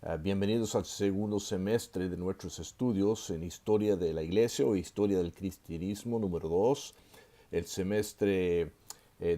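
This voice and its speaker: A man in his sixties, raised in Chile, giving an online seminary lecture in an unhurried way, with many filler sounds and restarts and the odd gentle joke. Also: low voice. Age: 60s. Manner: gently joking aside. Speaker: seminary professor.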